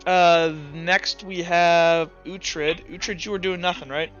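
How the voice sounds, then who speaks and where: A man's voice: fairly high pitch, level tone, slow, fairly bright tone. A man running a tabletop roleplaying game as game master, explaining rules and scenes in a casual, rambling way with restarts. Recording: dull lo-fi voice with music behind.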